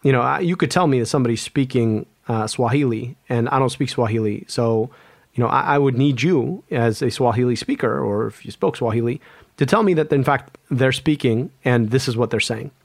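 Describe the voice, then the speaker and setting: A man's voice: mid-range voice, a little fast, gravelly, no echo, clean studio sound. A man, an epidemiologist guest, speaking earnestly in an interview, often restarting and repeating words. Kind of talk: conversational interview.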